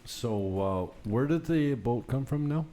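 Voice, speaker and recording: A man's voice: medium pitch, lively intonation, takes it slowly, warm tone. A man hosting a casual, unscripted interview, joking and cutting in as he goes. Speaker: podcast host.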